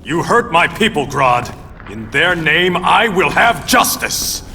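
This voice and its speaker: A man's voice: on the high side, very animated, slow, background noise, exaggerated voice. An actor voicing a proud, righteous undersea king in a video game cutscene, speaking in a stern, declaiming way. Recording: loud orchestral score behind.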